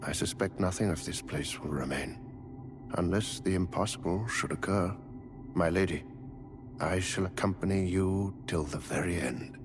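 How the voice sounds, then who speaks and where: An actor playing a genteel, devoted manservant in a fantasy game, with formal, courtly, theatrical phrasing. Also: male voice, low-pitched, normal speed, over music. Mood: solemn devotion. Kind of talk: video game character dialogue.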